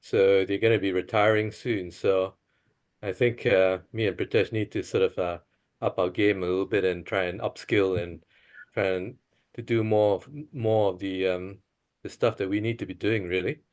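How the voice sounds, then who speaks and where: A man's voice: low-pitched, steady tone, normal speed. A man introducing himself casually to fellow course participants as a dentist.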